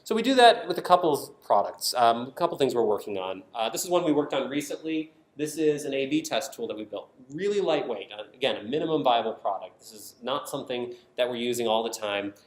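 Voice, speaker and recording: An American man delivers a conference talk in a mid-pitched voice. He explains step by step and puts emphasis on key phrases. The room around him adds a clear echo to his speech. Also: lively intonation, fairly quick, few pauses. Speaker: product designer giving a conference presentation. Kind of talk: conference presentation. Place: indoor conference room, speaking to an audience. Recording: roomy, echoing conference room sound.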